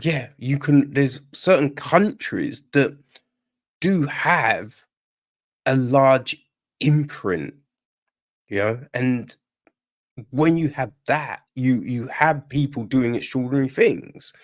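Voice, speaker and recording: A man's voice: medium pitch, slow, pauses often. A man giving a casual podcast film review, rambling and opinionated, with constant tag questions. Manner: rambling, frequent tag questions like "right?" and "you know"; opinionated and irritated.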